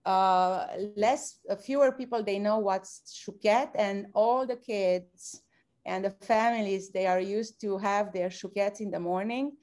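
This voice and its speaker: A woman hosting an online event, speaking haltingly with many pauses. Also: medium pitch, a little mellow. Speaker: event host.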